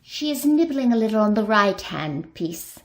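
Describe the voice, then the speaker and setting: A woman's voice: medium pitch, sing-song, moderate pace. A woman describing scenes in a scripted, present-tense radio play narration.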